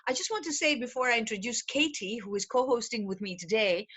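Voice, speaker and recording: A woman's voice: a little high, quick pace, a bit bright, slightly rough. A middle-aged woman from Greece, a program director, presenting an online information session from home over a video call. She talks in a chatty way, with many fillers and laughs.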